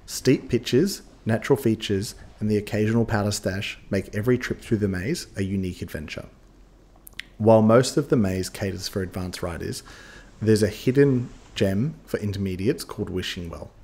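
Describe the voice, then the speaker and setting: A man's voice: on the low side, moderate pace, darkish tone. A man giving upbeat scripted voice-over narration, guiding listeners step by step like a ski guide.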